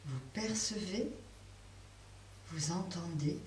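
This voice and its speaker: A woman teaching a guided yoga nidra relaxation, speaking softly with long pauses. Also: fairly low pitch. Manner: slow, soft, pausing guidance. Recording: roomy, distant mic with faint hiss.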